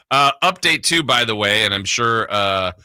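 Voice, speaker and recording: Guy hosting sports talk radio, really bright forceful voice, rambly and chatty, dry studio sound. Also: medium pitch, expressive, a little slow, clean tone, dry recording. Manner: rambling, conversational. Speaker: sports radio show host. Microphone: close studio broadcast mic.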